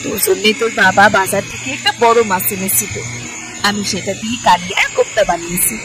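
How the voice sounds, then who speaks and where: A woman's voice: mid-range voice, fairly even intonation, quick pace, natural voice, poor audio. A woman voicing a worried, long-suffering village mother in a cartoon, fretful and plaintive. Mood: coaxing. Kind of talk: cartoon voice acting. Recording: music under the voice.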